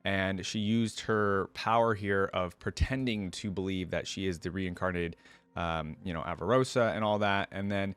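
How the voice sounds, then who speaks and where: A man's voice: sits low, fairly even intonation, moderate pace, a bit bright, clean tone. A man hosting a reaction video, reading story lore aloud and breaking in with casual, conversational commentary.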